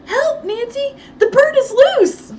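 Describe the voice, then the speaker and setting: A shrill, fussy housemaid who talks high and quickly, as a woman narrator puts her on. Her voice leaps around with nervous energy, heard from a little way off the mic with a faint hiss behind it. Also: huge pitch swings, a little mellow, recorded from a distance. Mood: panicked. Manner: high-pitched, hurried, fussy. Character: excitable shrill young housemaid.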